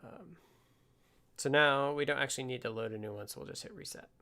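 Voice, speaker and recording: A man hosting a casual live coding stream, talking haltingly and half muttering to himself as he works. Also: medium pitch, bright, forceful tone. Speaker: livestream host, programmer. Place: indoors at a desk with computer.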